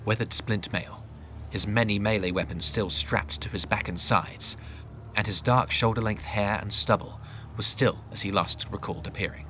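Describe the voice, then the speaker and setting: A man's voice: fairly low pitch, normal speed, bright, forceful tone, poor audio. A man reading dramatic scripted fantasy narration in a grave manner. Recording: faint noise and ambience.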